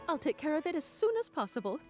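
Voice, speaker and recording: A woman's voice: sits high, very animated, fast, dark tone. A woman voicing a nervous female clerk at an academy in a video game, polite and anxious to please. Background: game music.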